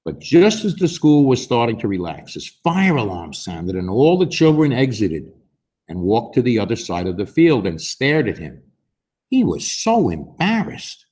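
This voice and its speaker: A man in his sixties with a husky voice, reading a picture book aloud to young children in an expressive, unhurried way. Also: mid-range voice. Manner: expressive, unhurried, with emphatic swings. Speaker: story time host reading aloud. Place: school library, indoors.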